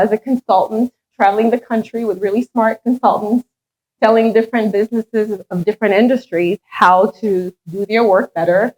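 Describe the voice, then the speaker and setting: A middle-aged woman speaking at a community meet and greet, telling her story earnestly with now and then a light touch of humor about herself. Her first language is French, and her voice sits at an ordinary middle pitch for a woman. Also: darkish tone, low-quality recording. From Ghana, raised in France. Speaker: head of a charitable foundation. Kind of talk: public speech at a meet and greet.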